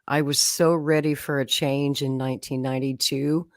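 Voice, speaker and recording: Low-voiced woman. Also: flat delivery, moderate pace, darkish tone, no room sound, studio quality. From Oklahoma, USA. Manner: chatty, frequent laughter, acts out quotes. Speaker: political guest. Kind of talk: conversational storytelling.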